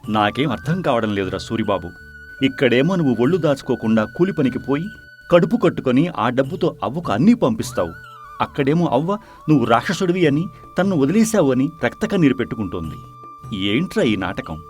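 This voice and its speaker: A man's voice: medium pitch, very animated, brisk, darkish tone, lightly acted. A man playing an indignant village man in a moral story, blunt and reproachful, with emphatic questions and a streak of pity. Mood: puzzled, reproachful. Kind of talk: dramatized moral story acting. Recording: music behind the voice.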